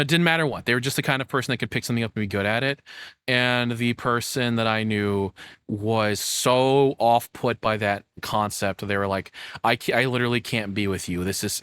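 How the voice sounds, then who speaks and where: A man's voice: fairly low pitch, a bit bright, no room sound. A man giving casual streamer reaction commentary in a blunt, informal way, with some swearing.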